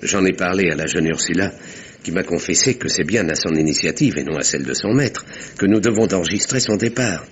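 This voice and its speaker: An actor playing a composed, wry village doctor who narrates a 1950s-style French radio mystery in measured, literary, husky tones. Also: male voice, low-pitched, slight background noise, natural voice. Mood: confiding. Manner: measured, dryly ironic. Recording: dull vintage radio sound.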